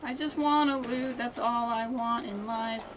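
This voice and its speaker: Higher-voiced woman streaming a game. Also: steady tone, unhurried, noisy background. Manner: chatty, thinking aloud. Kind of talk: casual live gameplay commentary, chatting with viewers.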